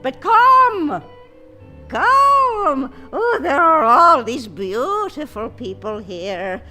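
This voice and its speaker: Very high, slow Italian granny ghost. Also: female voice, very animated, pushed and bright, soft background music, full character voice. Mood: joyful, beckoning. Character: ghost of old Italian grandmother, joyful, beckoning. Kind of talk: comic audio play acting.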